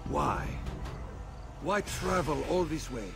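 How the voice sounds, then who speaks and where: A man's voice: a little high, lively intonation, slow, creaky, over music, heavily acted. An actor playing a pious, worldly-wise medieval crusader king in a video game, stately and declamatory. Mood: curious, probing.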